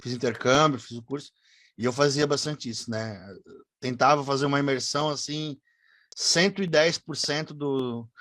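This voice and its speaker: A man hosting a podcast interview, asking questions in a relaxed way and nodding along with short replies. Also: mid-range voice, normal speed, no room sound. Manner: relaxed, frequent short backchannels.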